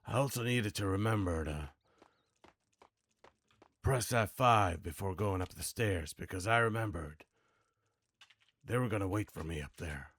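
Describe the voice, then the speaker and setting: A man voicing a hard-boiled, world-weary action-game hero in a brooding, measured noir monologue. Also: low-pitched, fairly bright tone, no echo. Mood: calm, resolute.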